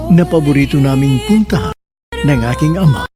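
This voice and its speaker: Filipino man, highish voice, theatrical radio narration, sentimental, music clearly underneath. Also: expressive, a little fast. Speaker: radio drama host and narrator. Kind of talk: dramatic scripted narration, letter reading.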